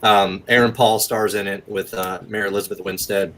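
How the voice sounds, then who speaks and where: A man's voice: on the low side, fairly even intonation, fairly quick, fairly bright tone. A man talking as an actor guest in a casual podcast interview, with an informal, enthusiastic manner.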